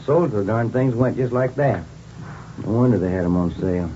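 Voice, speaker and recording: An actor playing a weary, homely American police detective sidekick in a 1950s radio drama, talking in a conversational way. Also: male voice, fairly low pitch, normal speed, natural voice. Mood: weary, grumbling. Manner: homely, conversational, mildly grumbling. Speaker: supporting actor. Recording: noisy, muffled old radio recording.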